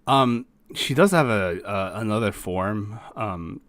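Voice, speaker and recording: A man's voice: fairly low pitch, slow, fairly bright tone, no room sound. A man hosting casual reaction commentary, explaining game characters in an easygoing way. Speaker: host presenting game characters.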